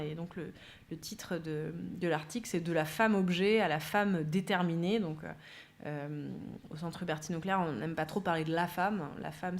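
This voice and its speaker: A woman speaks at a conference with a somewhat low voice. She talks through her points with frequent fillers and restarts. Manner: hesitant, many fillers. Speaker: speaker from a gender equality centre. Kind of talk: conference talk. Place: conference room.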